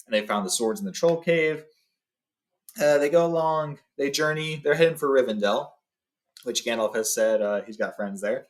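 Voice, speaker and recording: Man, mid-range voice, read-along host, informal storytelling, reading aloud. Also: medium pitch.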